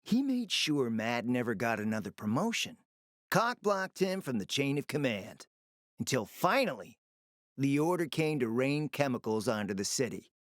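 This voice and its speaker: Sly smooth-talking spymaster for a game, theatrical and urbane, pitch moves around a lot, dry recording. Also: male voice, sing-song, moderate pace, fairly bright tone, no echo. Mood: grave, conspiratorial. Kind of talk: video game voice acting.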